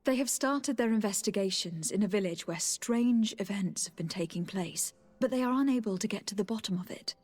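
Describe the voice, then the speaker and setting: An actress playing a loyal young noble envoy in a video game, measured, formal and polite. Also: female voice, mid-range voice, animated, a little mellow, natural voice. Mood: composed, reporting. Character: composed, diplomatic young noblewoman, loyal envoy to her cousin. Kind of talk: video game cutscene acting.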